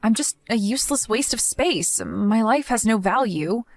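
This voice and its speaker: A meek, self-doubting young wife, as a woman acts her out, in a soft, raised voice with a hesitant, apologetic manner. The sound is dry and close to the mic. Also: a little high, lively intonation, normal speed, dry recording. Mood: despairing. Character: timid self-loathing young daughter-in-law.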